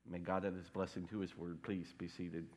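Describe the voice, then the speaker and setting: A man preaching a sermon as pastor, congested from a cold, with a wry, deadpan humor. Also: low voice, moderate pace. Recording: long hall reverb.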